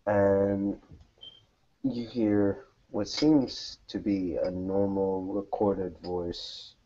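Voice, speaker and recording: A man's voice: lowish voice, little pitch movement, drawn-out words, dark tone, lo-fi sound. A man narrating a tabletop roleplaying game as game master, describing the scene to players.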